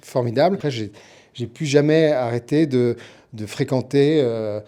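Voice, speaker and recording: A man's voice: mid-range voice, normal speed, warm tone. A middle-aged man answering as an interview guest in a conversational, explanatory way, often listing and repeating to build his point. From born in Milan, Italy. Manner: explanatory, builds points through lists and repetition. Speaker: interview guest, TV producer and writer.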